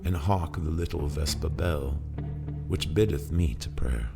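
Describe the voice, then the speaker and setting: A man recites poetry in a profoundly low register, taking his time over each phrase. His delivery is theatrical and solemn, with a gravelly rasp, over faint guitar music. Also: unhurried. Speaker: poetry reader. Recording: music played behind the voice.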